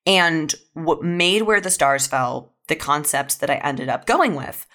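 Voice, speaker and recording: A woman's voice: low voice, lively intonation, unhurried, a bit bright. A young adult woman talking candidly and informally as a writer being interviewed, reflecting on their own work. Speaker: audio fiction writer. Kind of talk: reflective interview talk about own writing.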